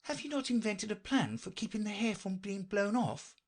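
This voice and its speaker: Polite young storybook girl played by a man, inquisitive, raised pitch with a husky, breathy edge. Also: normal speed. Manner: polite, questioning.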